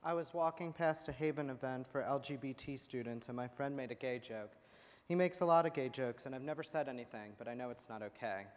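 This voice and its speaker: A man's voice: medium pitch, fairly quick, lightly acted. A young man playing a conflicted, uneasy college student in a staged monologue. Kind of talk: staged readers theater monologue. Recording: echoey hall.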